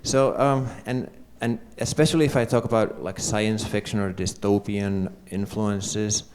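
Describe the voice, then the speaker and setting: A man's voice: on the low side, steady tone, measured pace, darkish tone. A man from Finland with a Finnish accent, a novelist answering a panel question in English, hesitant and full of fillers.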